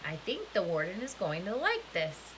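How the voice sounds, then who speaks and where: A woman's voice: fairly low pitch, wildly swooping pitch, normal speed, a bit bright, slight background noise, rough recording. A woman reading the storybook part of a mild, smug, easily cowed male camp counselor.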